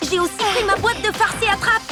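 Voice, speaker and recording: Squeaky-high, excitable cartoon girl. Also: a little fast, music in the background. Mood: playful. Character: playful, excitable young party-loving girl student. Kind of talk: French cartoon dub.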